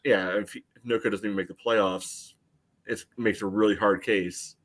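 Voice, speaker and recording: A man's voice: fairly low pitch, steady tone, moderate pace, fairly bright tone. A man co-hosting a sports podcast, casually explaining soccer tactics with frequent asides.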